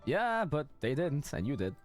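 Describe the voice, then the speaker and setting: A man's voice: fairly high pitch, wildly swooping pitch, moderate pace, music in the background. A man giving casual live game commentary as a streamer, with informal, jokey asides.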